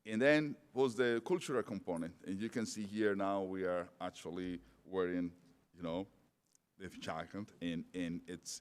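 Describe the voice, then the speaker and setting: Middle-aged male speaker with a non-native accent: deep, halting conference talk, in a reverberant hall. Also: clear, resonant tone. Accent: non-native English. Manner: hesitant, many fillers and restarts. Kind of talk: conference presentation.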